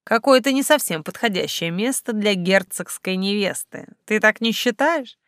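A woman's voice: medium pitch, lively intonation, moderate pace, no echo, studio quality, close to an everyday voice. A woman voicing a roguish, rueful young male agent in an audiobook. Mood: astonished, mocking. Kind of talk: audiobook character acting.

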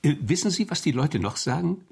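A man playing a flattering, chatty insurance salesman in an audio drama. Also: on the high side, fairly quick, gravelly, slightly rough, exaggerated voice. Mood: ingratiating.

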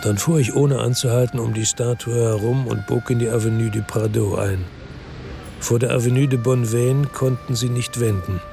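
An actor playing a fatalistic, resolute hard-boiled man who narrates his revenge tersely in a radio drama, with a gravelly voice. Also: medium pitch, steady tone, moderate pace, natural voice. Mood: brooding, resolute. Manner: terse, brooding. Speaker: first-person narrator. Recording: music under the voice.

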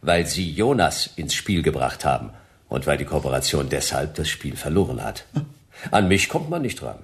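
An actor playing a laconic hard-boiled detective in a radio crime drama, with gravelly voice and dry, terse delivery. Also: male voice, a bass voice, big pitch swings, normal speed, exaggerated voice. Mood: deadpan. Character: laconic hard-boiled male detective, wryly narrating and talking.

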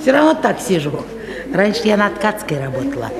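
An actress playing a kindly, talkative old cloakroom attendant in a radio play, with a homely, folksy way of talking. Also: female voice, mid-range voice, sing-song, brisk, lightly acted. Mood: homely, motherly. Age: older. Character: chatty kindly old cloakroom woman, retired weaver. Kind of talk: 1970s radio play acting. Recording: echoey hall with crowd murmur.

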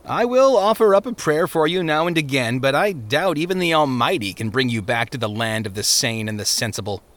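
A man's voice: mid-range voice, a little fast, a bit bright, voice pushed up, close to an everyday voice. A man voicing a smug, falsely genial parson in an audiobook story, talking down in a condescending way. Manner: condescending, hesitating mid-phrase.

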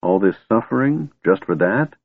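A man's voice: lowish voice, expressive, normal speed, creaky, no echo, pitched above the performer's own voice. Man voicing an earnest young elf woman. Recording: tinny phone-like dry recording.

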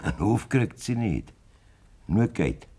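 A man's voice: on the low side, takes it slowly, slightly rough, heavily acted. An actor with a Bavarian accent playing a scheming, blunt aging farmer who talks down to his wife in a radio play. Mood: cold-blooded. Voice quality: blunt, self-assured and lively in tone.

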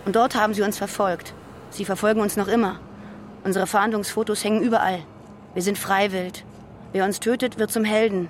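A woman's voice: mid-range voice, steady tone, fairly quick, a little hiss or hum, close to an everyday voice. An actress in a radio drama playing a guarded, militant West German woman underground, terse and ideological in manner. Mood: defiant, righteous.

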